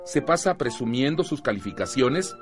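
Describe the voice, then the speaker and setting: Guy playing patient mexican teacher narrating a radio soap, lively intonation, music underneath. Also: medium pitch, moderate pace, lightly acted. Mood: explanatory. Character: patient male teacher narrating his teenage students' stories. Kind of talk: scripted radio drama narration.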